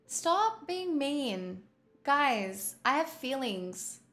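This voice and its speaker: A woman's voice: high voice, sing-song, very slow, a bit bright. A young woman streamer chatting casually and rambling to her viewers. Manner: chatty, rambling, talks to chat.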